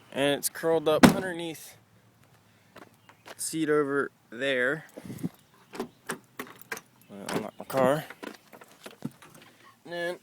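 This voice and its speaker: A man's voice: on the high side, background noise. A man giving a casual, off-the-cuff walkthrough as an amateur vlogger, informal and given to mild swearing, recorded on a handheld camera in and around a car. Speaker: amateur vlogger showing a car radio install.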